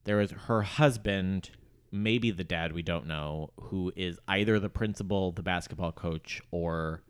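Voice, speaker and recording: A man with a fairly low voice co-hosts a casual pop culture chat. He tells things in an animated way and acts out small asides as he goes. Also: a little slow, clean tone. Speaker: podcast co-host.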